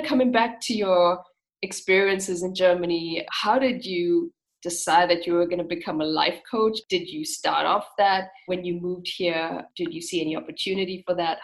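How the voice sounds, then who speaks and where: A woman's voice: fairly low pitch, poor audio. A woman hosting a podcast, reading a scripted introduction and then asking chatty follow-up questions. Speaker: podcast host. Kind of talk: podcast interview.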